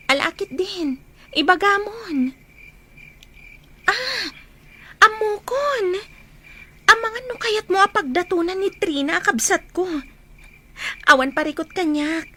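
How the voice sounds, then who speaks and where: A woman's voice: sits high, sharp tone. A woman with an Ilocano accent playing a sweet young bride in a radio drama, over faint noise. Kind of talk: Filipino radio drama acting.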